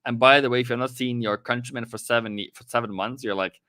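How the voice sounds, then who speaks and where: A man's voice: mid-range voice, fairly even intonation, quick pace, sharp tone, no echo, clean studio sound. A young Norwegian man with a light Norwegian accent, rambling and self-deprecating as he streams live trading commentary to his chat. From Norway.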